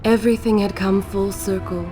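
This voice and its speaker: An actress playing a grave, mystical storyteller, narrating an epic video game in measured, solemn phrases. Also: female voice, mid-range voice, steady tone, lightly acted. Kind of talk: scripted dramatic game narration. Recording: music behind game narration.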